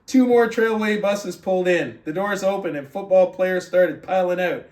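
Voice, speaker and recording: A man with a fairly high voice hosts a book club, talking and reading aloud. He sits back from the microphone, and the room around him echoes clearly. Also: moderate pace, darkish tone. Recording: roomy echo, distant from mic.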